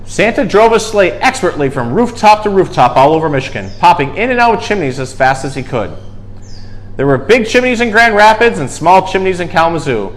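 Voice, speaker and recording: A man with a slightly high voice reads a children's story aloud. His delivery is very animated, swinging up and down in pitch with dramatic emphasis on key words. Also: brisk. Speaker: storyteller and zookeeper host.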